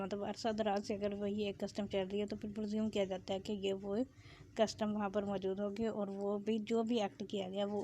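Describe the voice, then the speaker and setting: A woman's voice: medium pitch, mellow, slight background noise. A woman with a Pakistani accent giving an explanatory law lecture, reading legal text and explaining it, with English legal terms mixed in.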